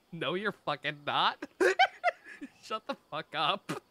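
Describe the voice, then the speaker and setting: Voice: mid-range voice, huge pitch swings, slow, heavy background noise. A game streamer chatting casually over gameplay on a live stream, sarcastic, joking and sweary.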